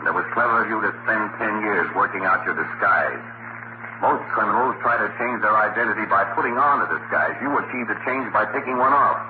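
An actor playing a shrewd, confident newspaper photographer turned sleuth in a 1940s radio crime drama, brisk and assured. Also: male voice, on the low side, lively intonation, background noise, lightly acted. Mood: explaining coolly. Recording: tinny old 1940s radio recording.

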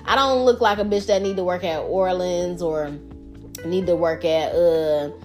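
A woman's voice: on the low side, fairly even intonation, unhurried, a little mellow, faint music behind. Profane, candid woman podcaster. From Detroit. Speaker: podcast host. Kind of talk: casual solo podcast monologue. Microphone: podcast microphone.

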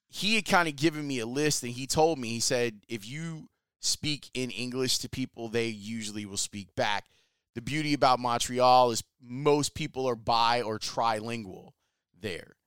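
Chatty guy telling a travel story, smooth clear voice, dry close sound. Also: mid-range voice, a bit bright, no echo. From Chicago, US. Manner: chatty, enthusiastic, uses slang. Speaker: sports reporter.

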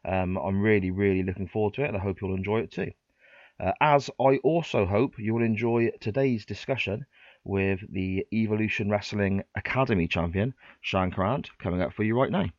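A man's voice: fairly low pitch, brisk, darkish tone, no room sound, lo-fi sound. A man hosting a podcast interview in a chatty, polite way with frequent ums, sounding congested from a cold. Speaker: podcast host. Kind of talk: casual interview chat.

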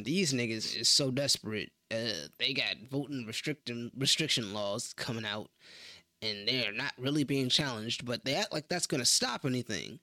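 A man's voice: mid-range voice, bright, forceful tone, dry recording. A man co-hosting a casual podcast, blunt and opinionated as he makes his arguments. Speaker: podcast co-host. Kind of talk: casual chat.

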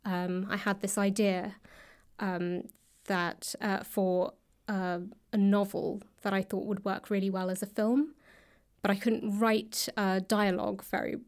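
A woman speaks casually as a guest in an interview. Her voice stays at a medium pitch with little rise or fall. She pauses and rephrases as she explains. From south London, England. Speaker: podcast guest, writer and actor.

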